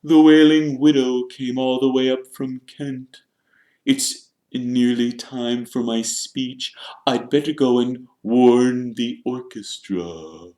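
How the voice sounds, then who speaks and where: A man voicing a proud, easily wounded gentlemanly ghost with a stiff, drawn-out manner. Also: fairly high pitch, completely flat delivery, voice pushed up. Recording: roomy, echoing narration recording.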